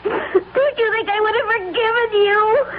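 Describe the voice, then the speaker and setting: A woman's voice: very high voice, unhurried. A woman acting in a 1970s radio drama as an emotional woman in a melodrama. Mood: tearful, forgiving. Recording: muffled old radio broadcast, crowd behind.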